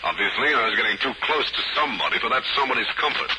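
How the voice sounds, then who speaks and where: An actor playing a wisecracking, hard-boiled private detective in a 1950s radio crime drama, with a wry, deadpan delivery. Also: male voice, medium pitch, racing. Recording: very noisy old 1950s radio recording.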